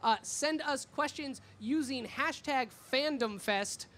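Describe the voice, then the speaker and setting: A woman's voice: sits high, slow, fairly bright tone. A woman hosting a casual, joking on-stage interview for a convention live stream. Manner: joking, informal.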